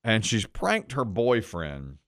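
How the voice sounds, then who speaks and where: Animated male radio host, dry studio sound. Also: lowish voice, takes it slowly, no room sound. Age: middle-aged. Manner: chuckling, repeats his phrases for emphasis. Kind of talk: casual radio banter.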